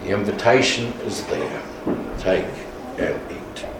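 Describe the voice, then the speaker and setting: A man leading a communion service, speaking quietly and solemnly in prayer without a microphone. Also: lowish voice, fairly even intonation, slow pace. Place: large echoey church without a mic. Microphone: distant room recording. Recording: far-off voice in an echoey hall with noise.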